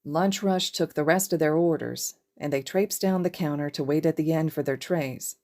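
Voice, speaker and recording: A woman's voice: deep voice, moderate pace, darkish tone. A woman reading a scripted story aloud as a fiction narrator. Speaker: podfic narrator.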